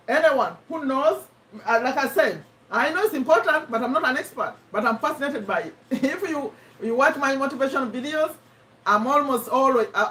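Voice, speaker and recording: A woman with a Ugandan accent hosting a casual live stream, chatting to viewers in an animated way and repeating herself for emphasis. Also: high voice. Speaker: host of a live personal development discussion. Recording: voice somewhat far from the mic.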